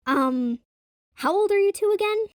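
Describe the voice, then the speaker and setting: A woman's voice: very high voice, expressive, normal speed, dry recording, studio quality, voice pushed up. A woman playing a casual, upbeat young teenage girl who teases bluntly in an audio drama. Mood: polite, wry. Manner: blunt, slangy, sarcastic.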